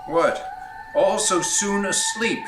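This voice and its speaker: An actor playing a grief-stricken, weary king in a Shakespearean audio drama. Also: sits high. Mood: drowsy, sorrowful. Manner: slow, heavy-hearted. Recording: echoey room, music underneath.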